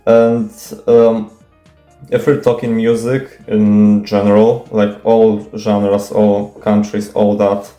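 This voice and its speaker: A man's voice: fairly low pitch, little pitch movement, slow, dark tone. A young man, a native Polish speaker, nervously answering viewer questions off the cuff, stuttering and restarting often. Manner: hesitant, stuttering, many fillers and restarts; nervous, self-conscious, casual swearing. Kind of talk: unscripted Q&A monologue. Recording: echoey room, faint music.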